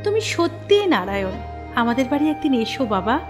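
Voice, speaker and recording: A woman's voice: high voice, big pitch swings, fairly quick. A woman voicing an effusive, tender elderly mother in a cartoon. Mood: grateful, tender. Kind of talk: comedy cartoon voice acting. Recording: music behind the voice.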